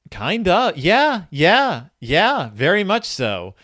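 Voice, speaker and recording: A man hosting a casual book discussion, informal and colloquial, retelling and quoting a story in an animated way. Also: high-pitched, slow pace, no echo, studio quality. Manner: animated, acts out quoted dialogue. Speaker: podcast host.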